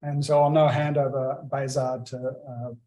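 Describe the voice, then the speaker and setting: Halting man introducing a lecturer, echoey room. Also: mid-range voice, level tone, warm tone. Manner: halting, heavy with "uh" fillers. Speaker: institute director introducing a lecture. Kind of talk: formal introduction speech.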